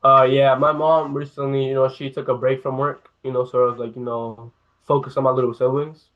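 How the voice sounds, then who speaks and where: A man's voice: medium pitch, little pitch movement, fairly quick. A young man from New York, laid-back and using casual slang, talking as a guest. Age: young adult. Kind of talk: casual chat. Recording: thin phone-line audio.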